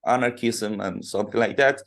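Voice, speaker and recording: A man from Colombia interviewing a musician, asking slow, halting questions full of fillers. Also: on the low side, vocal fry. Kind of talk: podcast interview in second language.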